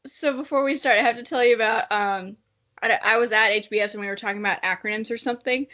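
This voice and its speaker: A woman's voice: on the high side, steady tone, fast, sharp tone, highs cut off. A woman co-hosting a podcast in casual chat, telling stories in a jokey way with some casual swearing. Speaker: podcast co-host.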